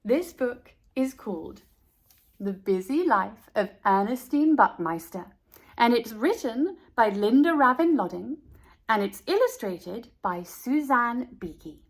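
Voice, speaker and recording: A woman reading a picture book aloud to young listeners as a storyteller, deliberate and emphatic, speaking to them directly. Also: on the high side, sing-song. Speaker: read-aloud storyteller for children. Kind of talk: expressive picture book narration.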